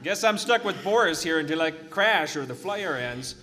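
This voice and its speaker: A gung-ho American balloon pilot from the fifties, played by a man as a folksy, blustering patriot with a Minnesota accent. His voice is high and swings widely in pitch. It echoes in a hall reverb over clear music. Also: lively intonation, normal speed, natural voice. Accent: put-on Minnesota.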